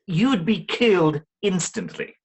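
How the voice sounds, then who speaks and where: An actor playing a condescending authority figure with a patronising manner in a radio play. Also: male voice, high-pitched, animated, a little slow, slightly rough, heavily acted.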